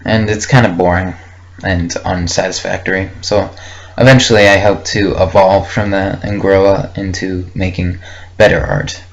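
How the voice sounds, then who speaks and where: A man's voice: low voice, fairly even intonation, moderate pace. A young man recording a casual, unscripted audio log, thinking aloud hesitantly and reflectively in short fragments. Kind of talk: casual unscripted rambling monologue. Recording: muffled lo-fi with faint background noise.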